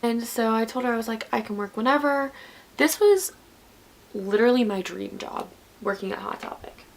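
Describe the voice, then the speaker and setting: A woman's voice: fairly high pitch, expressive, normal speed, gravelly, darkish tone, slight background noise. A woman in her twenties telling a story in a rambling, chatty way while getting ready. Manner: rambling, chatty with many likes. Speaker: vlogger.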